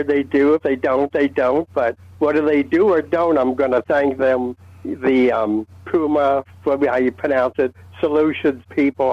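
A man giving a casual update on a club call, easygoing and rambling, with hesitant pauses. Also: medium pitch, dry recording. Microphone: remote call connection. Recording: muffled, dull call audio.

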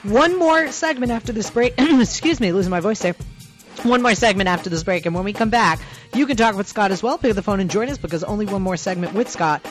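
A woman hosting a radio talk show, asking questions with many pauses and fillers, then reading out call-in details. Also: medium pitch, animated, soft background music.

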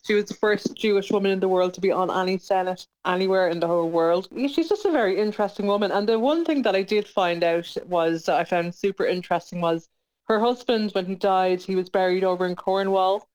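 A woman's voice: medium pitch, a little fast, a little mellow, smooth, lo-fi sound. A woman talking informally as a radio interview guest, chatty and often correcting herself as she goes. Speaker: interview guest, playwright and producer.

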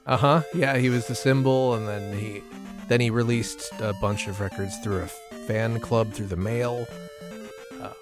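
A man's voice: medium pitch, fairly even intonation, normal speed, music in the background. A man speaking as a podcast guest in a casual, conversational style. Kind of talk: casual chat.

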